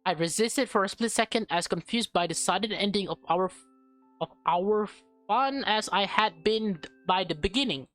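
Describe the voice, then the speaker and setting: A woman reading visual novel text aloud as a streamer, stumbling over words and breaking into excitable exclamations. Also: mid-range voice, normal speed, soft background music.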